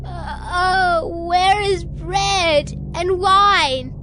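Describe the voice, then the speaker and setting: A hungry child crying out to its mother. The voice is extremely high and very slow, drawn out into pleading wails. It is a dramatized scripture reading with faint music behind. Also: pushed and bright, heavily acted. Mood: wailing, desperate. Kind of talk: dramatized audio Bible acting.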